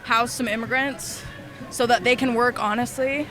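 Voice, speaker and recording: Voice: medium pitch, steady tone, normal speed. A young adult arguing back defiantly in a heated street interview, swearing now and then, outdoors on a city street.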